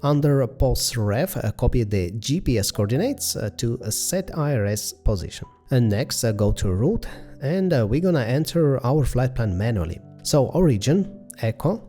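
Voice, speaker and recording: A man with a soft-edged, mellow voice at a middle pitch narrates a flight simulator session. He works through each step methodically and hesitantly, as the host of the video. Faint music plays behind his commentary. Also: sing-song, moderate pace.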